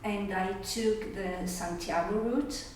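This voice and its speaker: A woman's voice: medium pitch, takes it slowly. A middle-aged woman from Germany and Italy, a non-native English speaker, chatting casually. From born in Germany, raised in Italy. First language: not English. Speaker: conversation partner in English-learning video. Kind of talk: casual getting-to-know-you chat. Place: echoey room. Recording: very echoey room, distant mic, faint noise.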